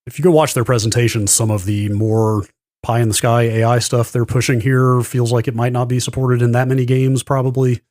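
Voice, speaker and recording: A man's voice: mid-range voice, flat delivery, brisk, a little mellow, dry recording, studio quality. A man co-hosting a podcast, explaining things casually and in detail with careful qualifications. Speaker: podcast host. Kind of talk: casual tech news discussion.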